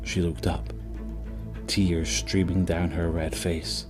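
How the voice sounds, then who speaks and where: A man with a very low voice delivers dramatic, scripted horror narration. Music plays clearly underneath him as he tells the story. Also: little pitch movement, slow.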